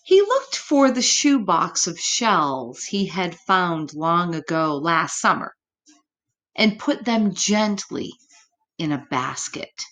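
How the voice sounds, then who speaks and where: A woman's voice: fairly low pitch, sing-song, fairly bright tone. A woman reading a picture book aloud to children expressively, speaking directly to her young listeners. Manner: pauses to address young listeners. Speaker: storytime reader.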